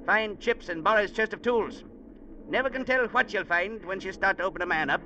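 An actor playing a blunt, bossy, unflappable sea captain in a vintage radio drama, with curt, folksy talk. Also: male voice, sits high, a little fast. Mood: brisk, commanding. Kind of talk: 1940s-50s radio drama acting. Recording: muffled, noisy vintage radio recording.

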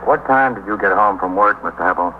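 Man playing a deep-voiced, brisk radio cop. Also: lightly acted. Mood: probing, terse. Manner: terse, matter-of-fact, probing. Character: businesslike male police investigator questioning a husband. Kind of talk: 1950s radio police drama acting. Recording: narrow, noisy 1950s radio recording.

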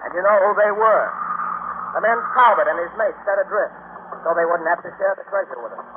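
An American actor playing a tough, quick-witted sailor in a 1940s radio drama, explaining things in emphatic, colloquial speech. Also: male voice, high voice, natural voice. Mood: resolute. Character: tough, plain-spoken male sailor, quick-witted and decisive. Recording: noisy, tinny old radio broadcast.

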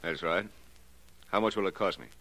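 A man's voice: low-pitched, moderate pace, bright, forceful tone, poor audio. An actor playing a bitter, ruined man set on revenge, polite but grimly determined, in a 1940s radio crime drama manner. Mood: cold, vengeful. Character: ruined, bitter male client coldly bent on revenge.

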